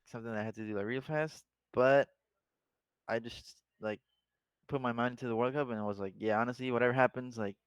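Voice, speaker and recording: A man's voice: mid-range voice, fairly even intonation, brisk, clear, resonant tone, dry recording. A young man from Mexico with a Mexican Spanish accent, a guest chatting casually in an interview, laid-back with lots of "like" and laughter. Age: young adult. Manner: laid-back, laughs often, many fillers. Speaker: guest, esports player.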